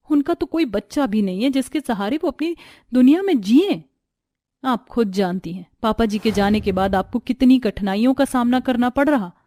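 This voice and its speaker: A woman narrator plays a sensible elder sister-in-law from a domestic storybook tale. She speaks earnestly and protectively, in a fairly high, dry voice, reasoning and reassuring. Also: warm tone, dry recording, lightly acted.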